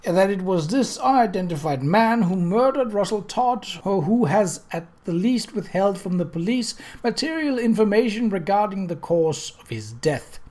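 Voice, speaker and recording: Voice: mid-range voice, expressive, moderate pace, few pauses, a little mellow, voice pushed up, close to an everyday voice. A narrator voicing a dutiful male police constable in an audiobook, careful, formal and respectful.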